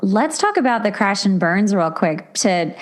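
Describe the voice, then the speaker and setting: A woman's voice: medium pitch, very animated, measured pace, crowd noise. A woman hosting a casual conversational interview in an informal, empathetic way. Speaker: podcast host.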